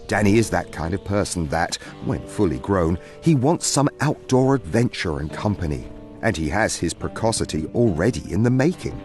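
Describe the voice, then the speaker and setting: A man's voice: sits low, lively intonation, moderate pace, a little mellow, soft background music. A man narrating a scripted fantasy story in a theatrical storybook manner.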